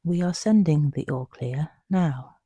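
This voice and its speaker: Slow, hushed sleep meditation voice. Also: mid-range voice, slightly rough, dry recording, muffled recording. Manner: hushed, soothing, unhurried with long pauses. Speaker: meditation guide. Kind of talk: guided sleep meditation narration.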